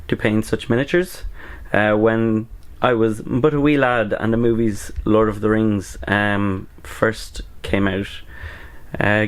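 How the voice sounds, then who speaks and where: A young man talking to camera from a small hobby room, his voice a little strained and cracking as he recovers from bronchitis. His pitch sits low for a man, and he rambles along casually, filling pauses with ums and uhs. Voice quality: slightly cracking voice. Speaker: miniature painting YouTuber.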